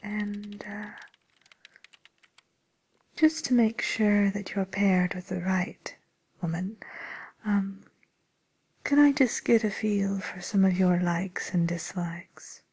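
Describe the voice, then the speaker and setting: A woman playing a polite, attentive receptionist at a fairy-tale dating agency in a soft, breathy, hesitant whisper, as an ASMR roleplay. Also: mid-range voice, slow pace, long pauses.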